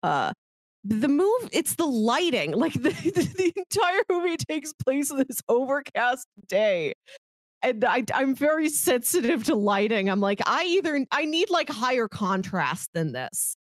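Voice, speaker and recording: A woman co-hosting a podcast in a casual film discussion, chatty and opinionated in an animated way. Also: sits high, unhurried, no echo. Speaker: podcast co-host.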